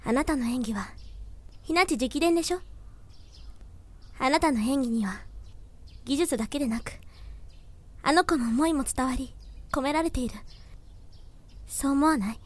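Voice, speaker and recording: A woman's voice: high-pitched, moderate pace, pauses often, lightly acted. A woman playing a caring, encouraging senior student of a college drama club in an audio drama. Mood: earnest, encouraging. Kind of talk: fan audio drama acting.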